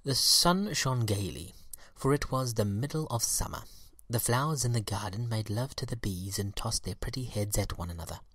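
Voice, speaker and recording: Male reader for children's story, husky and breathy, measured expressive narration, close dry recording. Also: on the low side, dry recording.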